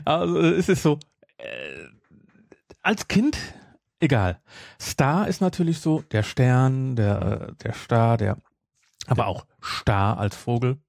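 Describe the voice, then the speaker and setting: A man hosting a podcast in casual chat, with a halting, self-interrupting way of talking. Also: mid-range voice, very animated, vocal fry, darkish tone, no echo, clean studio sound. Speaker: podcast host. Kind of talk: casual chat, retelling a story.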